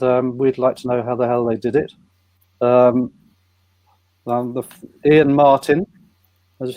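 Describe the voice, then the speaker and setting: A man hosting an online panel event, introducing guests and asking questions in a somewhat halting way. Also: medium pitch, a little mellow, dry recording. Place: indoors on a live online video call.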